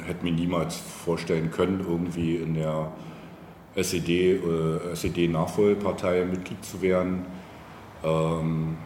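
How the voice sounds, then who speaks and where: Low-voiced middle-aged man, halting speaker. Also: darkish tone. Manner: hesitant, halting, searching for words. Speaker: local politician, interviewee. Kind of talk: reflective conversational interview answers. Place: indoor room, face-to-face over coffee. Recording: echoey room with faint background noise.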